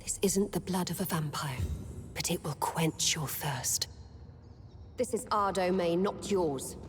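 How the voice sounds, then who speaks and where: A woman plays an aged undead matriarch in a video game, solemn and on her guard. She speaks low and very slowly, weighing formal, old-fashioned words. Her voice rings through a long cavern, with music underneath. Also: noisy background, natural voice. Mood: solemn, protective. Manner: slow, formal, archaic phrasing. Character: old female sewer-dwelling vampire leader, guarded, solemn.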